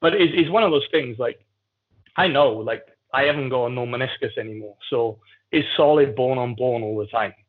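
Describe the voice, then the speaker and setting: A man's voice: mid-range voice, moderate pace, breathy edge. A man with a South Wales Valleys accent chatting casually and candidly as a podcast guest. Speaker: podcast guest, jiu-jitsu athlete. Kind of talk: casual conversational storytelling. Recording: muffled phone-like call audio.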